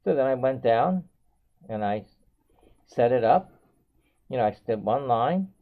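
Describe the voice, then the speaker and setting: An older man giving rambling advice in a halting, repetitive way, often correcting himself. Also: mid-range voice, expressive. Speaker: consumer vlogger. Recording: thin phone-like sound.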